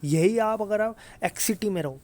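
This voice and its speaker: Higher-pitched North Indian man explaining informally. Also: moderate pace, a little mellow. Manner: conversational, repeats points and checks understanding with tag questions. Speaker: exam-prep YouTuber explaining job salaries. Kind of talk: informal explainer aimed at students.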